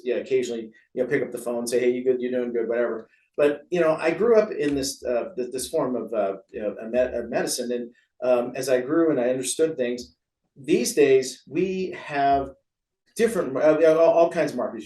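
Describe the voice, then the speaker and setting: Male American interview guest, physician type. Casual, meandering, self-effacing explainer, mid-pitched, recorded at a distance with room echo. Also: fairly quick, mellow. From San Francisco, USA. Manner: rambling, chatty, self-deprecating. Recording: roomy echo, distant from mic.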